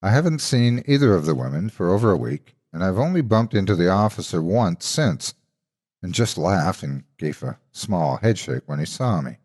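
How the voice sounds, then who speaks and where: A man with a deep, low voice delivers scripted horror narration. He reads dramatically, as a storyteller presenting a tale to listeners. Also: darkish tone.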